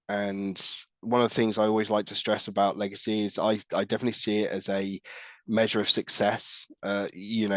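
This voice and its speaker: A man's voice: fairly low pitch, no room sound. A middle-aged man with a stuffy nose from a cold, giving a presentation on a video call, hesitant with many fillers and restarts. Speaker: guest speaker, tech lead.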